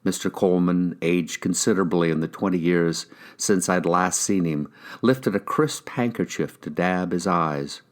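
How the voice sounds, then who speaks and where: A man's voice: low voice, moderate pace. A man with an American accent reading first-person crime fiction aloud as a scripted narration. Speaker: audiobook reader, actor.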